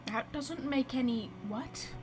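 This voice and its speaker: Female game streamer: fairly high voice, rambling and blunt casual commentary, excitable, slight background noise. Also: slow. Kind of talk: casual live reaction commentary.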